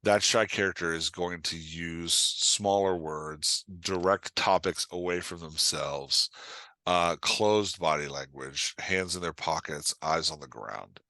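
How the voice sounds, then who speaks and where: A man's voice: low voice, slow pace, sharp tone, dry recording. A man giving a casual interview as a guest writing coach, explaining craft emphatically and checking in with the listener as he goes.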